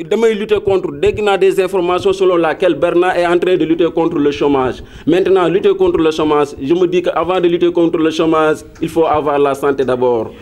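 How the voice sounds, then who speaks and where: A man's voice: high-pitched, darkish tone. A man with a Senegalese accent making an impassioned statement to the local press, mixing Wolof and French and repeating his points. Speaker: protesting villager.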